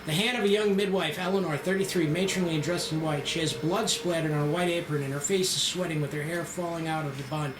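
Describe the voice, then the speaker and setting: A man's voice: fairly high pitch, steady tone, quick pace, few pauses, background noise. A man narrating a screenplay at a table read with a dramatic storytelling delivery. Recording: echoey room with background music.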